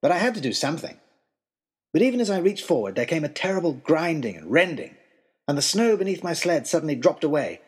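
A man playing a florid, anxious young gentleman adventurer, telling his tale in a wordy, mannered way with wry understatement in a comic audio drama. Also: on the high side, slightly rough. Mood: tense, dramatic. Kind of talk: first-person comic adventure storytelling, letter-like period narration.

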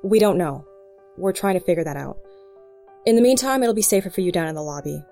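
A woman's voice: on the low side, expressive, fast talker, mellow, soft background music. No-nonsense female FBI agent. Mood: calm, authoritative. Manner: authoritative, no-nonsense, terse. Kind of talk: audio drama acting.

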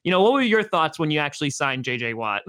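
A young man hosting a casual sports podcast, talking loosely and excitedly with lots of fillers. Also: on the high side, quick pace. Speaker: podcast host.

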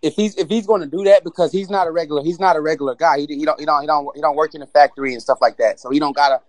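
A man's voice: on the high side, fairly even intonation, no room sound. A man co-hosting a podcast, chatting casually and often repeating words as he works out his point. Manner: halting, repeats words while getting points out. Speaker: podcast co-host.

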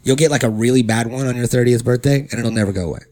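A man's voice: medium pitch, racing, a little mellow. A man in his early thirties, co-hosting a casual chat, joking and riffing with mock quotes. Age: 30s. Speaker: podcast co-host.

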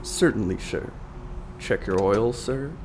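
Casting: male actor as talkative, folksy filling-station attendant, lowish voice, radio play, clear vehicle hum behind. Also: takes it slowly. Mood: affable. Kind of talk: radio drama acting.

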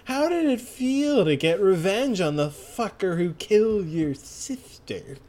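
A man voicing a vengeful undead gangster ghost, bitter and taunting in drawn-out questions. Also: high-pitched, lively intonation, a little mellow, pitched above the performer's own voice.

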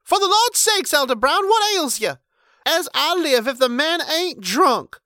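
Shrill old wife, scolding and shouting, voice leaping all over, dry sound. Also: male voice, sing-song, unhurried, no echo, heavily acted. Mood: furious.

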